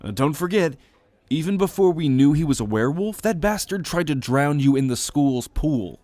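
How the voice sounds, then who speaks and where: A man's voice: on the high side, expressive, normal speed, darkish tone, no room sound, close to an everyday voice. A man voicing a sardonic, foul-mouthed young student in an exasperated drawl for an ASMR roleplay audio drama. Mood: sarcastic. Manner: exasperated, sarcastic, swearing drawl.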